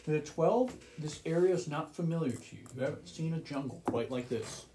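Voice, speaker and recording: A man's voice: on the high side, fairly quick, background noise. A man acting as game master, narrating a tabletop game with descriptive scene-setting.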